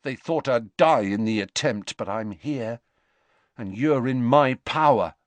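A man's voice: medium pitch, a little slow, dry recording, natural voice. A man voicing a frightened, shaking teenage boy who is desperate. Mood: defiant. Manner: shaky, desperate.

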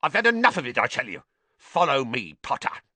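A man's voice: on the low side, huge pitch swings, slow pace, gravelly, pushed and bright, no room sound, exaggerated voice. A man voicing a furious, spiteful old school caretaker in an audiobook, wheezy and strained as he rants. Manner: ranting, muttering, roaring.

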